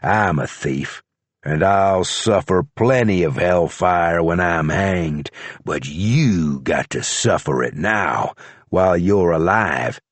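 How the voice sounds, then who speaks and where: A man voicing a superstitious, preachy horse thief locked in a cell, who speaks in a slow rural drawl. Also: deep voice, dry recording, heavily acted.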